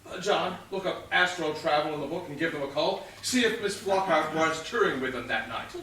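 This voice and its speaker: Guy acting a bossy exasperated detective inspector, comedy play, bit high voiced and punchy, super echoey stage recording from way back. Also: bright, forceful tone. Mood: impatient, interrogating. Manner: exasperated, halting, heavily emphatic. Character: male police inspector, sardonic, blustering, slow-witted, bossy comic detective. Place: theatre stage, picked up from a distance. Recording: distant echoey theatre stage recording, faint noise.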